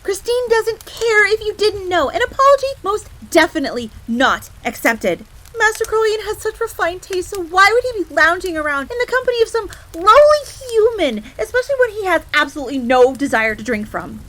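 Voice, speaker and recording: A high-voiced fox maid in an audio roleplay. She is a proud, jealous tsundere servant, quick to get indignant and flustered, yet lowly in her own eyes. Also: sing-song, bright, forceful tone, exaggerated voice, rough recording. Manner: indignant, stammering when flustered, refers to herself in third person.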